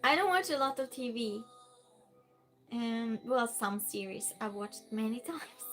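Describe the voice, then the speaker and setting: A woman's voice: on the high side, lively intonation, unhurried, faint music behind. A woman with a Norwegian accent, a native Norwegian speaker, chatting casually on a live stream, reading viewer messages aloud with plenty of fillers and restarts.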